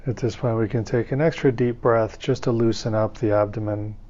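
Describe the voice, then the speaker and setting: A man leading a guided meditation as a teacher, speaking in calm, soothing, measured phrases with pauses between them. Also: lowish voice, lo-fi sound.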